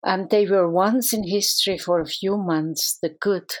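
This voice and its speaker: A woman's voice: medium pitch, a little slow. A middle-aged woman from Switzerland with a Swiss accent, a psychoanalyst answering interview questions in a thoughtful, earnest way with frequent restarts.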